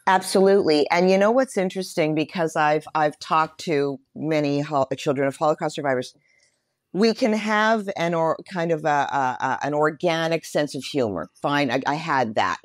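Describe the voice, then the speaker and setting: A woman in her sixties speaking candidly and reflectively as an interview guest, with measured emphasis. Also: sits low, no room sound, studio quality.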